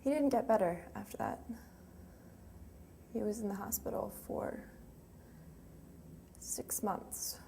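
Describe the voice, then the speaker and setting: Actress doing a grieving young woman, gravelly fry, talking haltingly about lost love, dramatic monologue, noisy background. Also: mid-range voice, animated, mellow. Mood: subdued, sad. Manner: hesitant, emotional, with trailing phrases. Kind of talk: dramatic monologue, confessional and conversational. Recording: clearly noisy room ambience.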